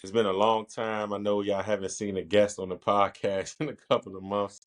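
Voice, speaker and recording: A man from Washington, D.C. with a very deep voice, hosting a casual interview podcast. He talks in an easygoing way and laughs easily as he chats with his guest. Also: flat delivery. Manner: easygoing, laughs often, quick. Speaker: podcast host.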